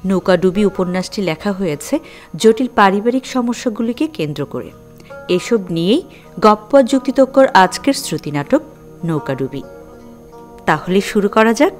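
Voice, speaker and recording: A woman's voice: mid-range voice, expressive, moderate pace, darkish tone, soft background music. A woman presenting an audio drama with a scripted introduction.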